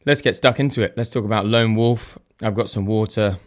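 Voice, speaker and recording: Croaky-voiced man hosting a chatty podcast. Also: on the low side, a little fast. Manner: chatty and self-deprecating, thinks aloud. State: slightly croaky voice. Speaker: podcast host. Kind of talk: casual solo podcast chat.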